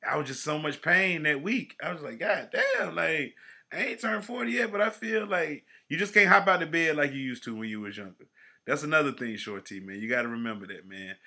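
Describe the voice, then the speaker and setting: Podcast co-host, male, 40s, bright tone, slangy and animated, loud then mumbling at phrase ends. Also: on the high side, brisk, vocal fry. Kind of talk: casual chat.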